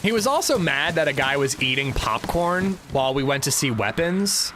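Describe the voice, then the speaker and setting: A man's voice: fairly high pitch, big pitch swings, moderate pace, background noise. A man streaming a video game, chatting casually with his chat in a rambling, chatty way and swearing freely. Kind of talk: casual livestream chat while gaming.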